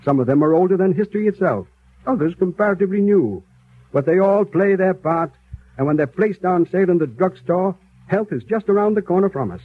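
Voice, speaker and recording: An actor playing a knowledgeable, enthusiastic guide who explains with assurance in scripted 1930s educational radio style. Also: male voice, high-pitched, animated, fairly quick, no echo. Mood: informative. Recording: tinny, noisy 1930s radio recording.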